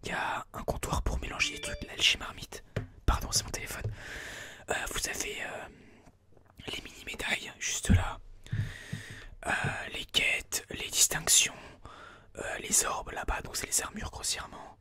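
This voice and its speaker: A person whispering informal, explanatory ASMR gameplay commentary in a breathy, unvoiced voice, a little hesitant, close on a binaural mic. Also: moderate pace, pauses often, sharp tone. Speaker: ASMR gaming video host. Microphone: close binaural microphone.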